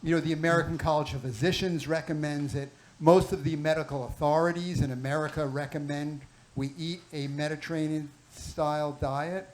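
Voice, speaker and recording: A man hosting a health panel at a clinic event, asking questions haltingly with many fillers and restarts. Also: on the high side, fairly even intonation, low-quality recording. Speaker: panel host, clinic doctor. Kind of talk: moderating a live health panel.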